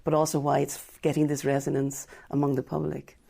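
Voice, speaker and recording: A middle-aged woman with an Irish accent answers interview questions in a measured, reflective manner. Her voice sits low and sounds mellow and warm. She thinks aloud as a guest scholar on a radio show. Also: completely flat delivery, vocal fry, breathy edge. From Ireland. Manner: measured, reflective, with some restarts. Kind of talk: thoughtful academic interview answers. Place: radio studio.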